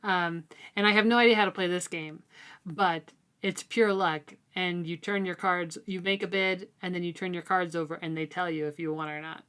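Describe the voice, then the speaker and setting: A woman co-hosting a casual, informal book discussion, talking in a halting, conversational way. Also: lowish voice, fairly even intonation, fairly bright tone, clean tone. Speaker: co-host of a book read-along discussion.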